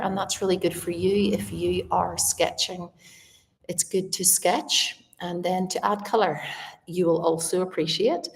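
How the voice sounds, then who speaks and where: A Northern Irish woman talks straight to her live viewers in a relaxed, chatty way. Her voice sits low with a gravelly fry. The big old house she records in gives a long, echoing reverb. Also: a little hiss or hum. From Northern Ireland. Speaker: artist livestreaming to viewers. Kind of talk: casual live chat to viewers. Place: large old house, indoors.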